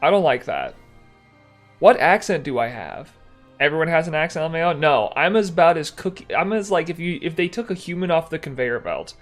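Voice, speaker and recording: A man's voice: on the high side, animated, brisk, faint music behind. A young man from Texas with a mild Texan accent, chatting casually on a livestream and restarting his sentences often as he rambles. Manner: rambling, self-correcting, chatty with chat. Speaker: streamer.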